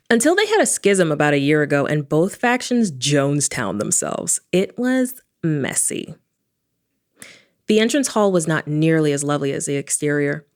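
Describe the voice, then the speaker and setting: A wry, irreverent woman investigator tells her own story in an audio drama. Her voice sits low for a woman. The delivery is informal and sardonic, like first-person narration. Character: sardonic young woman engineer and paranormal investigator. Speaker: protagonist narrator.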